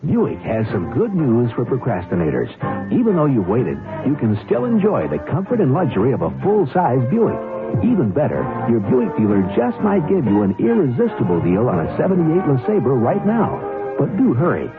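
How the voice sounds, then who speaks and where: A man's voice: medium pitch, huge pitch swings, normal speed. An American man reading a 1970s radio commercial with an upbeat salesman's delivery. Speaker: commercial announcer. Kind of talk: 1970s radio advertising read. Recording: narrow old radio broadcast with music.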